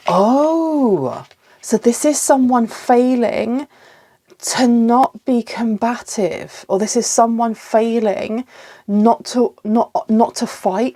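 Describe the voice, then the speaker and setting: Brief woman tarot reader, mid-pitched, a little sniffly, thinks aloud to the cards. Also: mellow. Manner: musing aloud, pausing to ask the cards for clarity. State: slight cough and sniffles. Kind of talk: solo tarot reading to camera.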